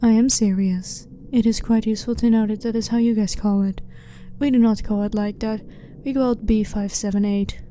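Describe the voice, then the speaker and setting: A woman playing a blunt, clinical but harmless alien scientist in an ASMR sci-fi roleplay, speaking in a formal, measured, matter-of-fact way. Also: mid-range voice, steady tone, dark tone, natural voice. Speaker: ASMR voice performer. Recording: clearly noisy ambient background.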